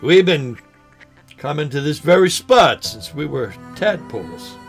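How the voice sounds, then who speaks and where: Slow-talking, sentimental old man. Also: on the high side, sing-song, slightly rough, soft background music, exaggerated voice. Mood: sad, apologetic. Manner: slow, halting, folksy. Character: ailing old man, sentimental lifelong fishing buddy, gently joking. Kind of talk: audio drama acting, folksy dialogue.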